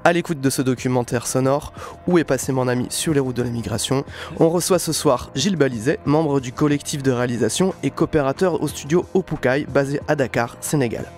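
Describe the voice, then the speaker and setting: Mid-pitched male radio host. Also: a little fast, darkish tone, soft background music. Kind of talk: radio presenting and interviewing.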